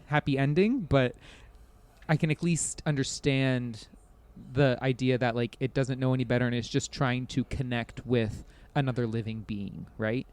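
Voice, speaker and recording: A man's voice: medium pitch, clear, resonant tone, dry recording. A man co-hosting a podcast in casual discussion, talking in conversational, looping, hedged thoughts. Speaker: podcast co-host. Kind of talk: casual video game discussion.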